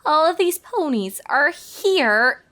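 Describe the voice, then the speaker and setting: A woman's voice: high voice, expressive, slow pace, sharp tone, voice pushed up, heavily acted. A woman voicing an earnest, bookish young female unicorn who is easily flustered, in a cartoon fan story reading. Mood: flustered amazement.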